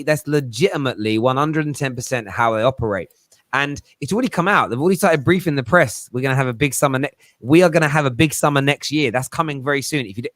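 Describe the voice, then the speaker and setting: A man's voice: medium pitch, fairly bright tone, dry recording. A man hosting a live football show in a matey, slang-heavy, emphatic way. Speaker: host. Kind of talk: casual live show chat.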